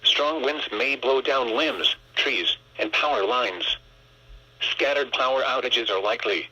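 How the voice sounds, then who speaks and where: A synthetic-sounding male announcer reads a formal weather bulletin in a measured, impersonal way through a weather radio speaker. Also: mid-range voice, animated, sharp tone, slight background noise. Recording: muffled, raspy small radio speaker.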